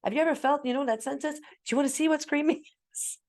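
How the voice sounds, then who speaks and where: A middle-aged woman chatting casually as a co-host, telling stories in an animated, emphatic way and acting out what people said. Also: sits high, quick pace. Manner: animated storyteller, acts out quoted exchanges; talkative, emphatic, self-described loud speaker. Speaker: parent sharing parenting tips as co-host. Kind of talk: casual conversational talk.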